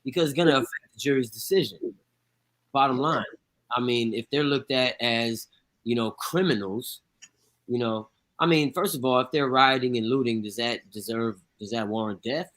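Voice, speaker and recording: A man's voice: medium pitch, normal speed, a bit bright, rough recording. A man with an ordinary mid-range voice arguing his point on a talk show. He presses hard with rhetorical questions and blunt, emphatic statements. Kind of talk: casual radio talk show.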